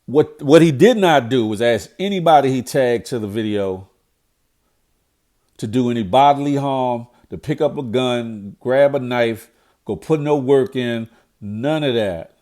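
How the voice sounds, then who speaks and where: A man's voice: mid-range voice, measured pace, darkish tone. A middle-aged man with a nasal, cold-stuffed voice, giving a blunt, preachy commentary monologue as a vlogger. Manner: blunt, preachy, repeats himself when fired up. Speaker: vlogger commenting on current events.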